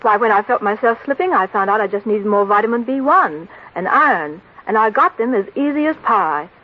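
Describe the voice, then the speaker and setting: An actress playing a cheerful, peppy young office secretary in a 1940s radio advert. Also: female voice, medium pitch, animated, brisk, lightly acted. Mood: bright, chipper. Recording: dull old radio recording.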